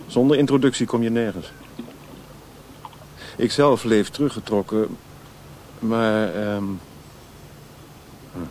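An actor playing a world-wise, withdrawn man in a Dutch radio drama, speaking in a measured, reflective, cautionary way. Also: medium pitch, darkish tone. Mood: reserved, reluctant. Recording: noisy old radio play recording.

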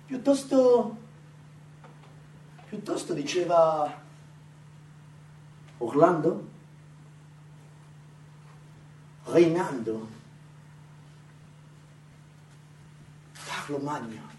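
A man playing a pompous, exalted military leader who fancies himself a great emperor, declaiming grandly and haltingly in a theatrical monologue. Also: on the high side, animated. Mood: haughty, admonishing. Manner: grandiose, declamatory, halting, swinging from hush to shouts. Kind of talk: theatrical radio monologue acting. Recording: roomy, distant, noisy theatrical recording.

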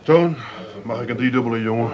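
An actor playing a scheming, coaxing working-class husband in a comedic radio play. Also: male voice, medium pitch, a little fast, dull audio, very noisy, natural voice. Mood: downcast.

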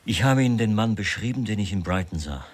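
An actor playing a brooding, evasive writer with a terse, guarded manner in a German radio crime drama. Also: male voice, lowish voice, lively intonation, quick pace, slightly rough, dry recording. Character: brooding male writer, evasive husband of a dead woman.

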